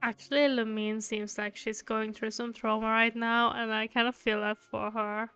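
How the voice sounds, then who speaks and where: Clear, high-voiced woman commenting on a game. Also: level tone, normal speed, pushed and bright, muffled recording. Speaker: game streamer. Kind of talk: casual gameplay commentary. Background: game music.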